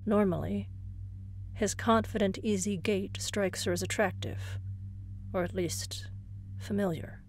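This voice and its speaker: A woman's voice: medium pitch, fairly even intonation, measured pace, pauses often, slight background noise. A woman narrator reading a suspenseful scripted horror story.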